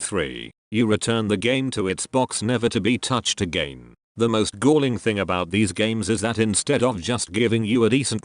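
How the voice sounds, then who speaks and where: Sarcastic man with a lowish voice, close and dry. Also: no echo. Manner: sarcastic, exasperated, deliberately emphatic. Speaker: host reading a humorous rant article. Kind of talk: dramatic reading of a scripted rant.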